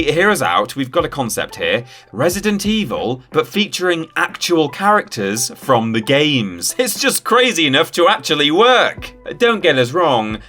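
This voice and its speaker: Male countdown narrator, wry and tongue-in-cheek, forward bright tone, very lively intonation. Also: mid-range voice. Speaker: video presenter. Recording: music behind the voice. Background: background music with guitar.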